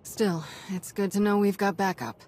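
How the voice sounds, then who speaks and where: A woman playing a brisk, practical Jedi ally in a video game cutscene, matter-of-fact in manner. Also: medium pitch, steady tone, darkish tone, natural voice. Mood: wry. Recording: clear background noise, vehicle-like ambience.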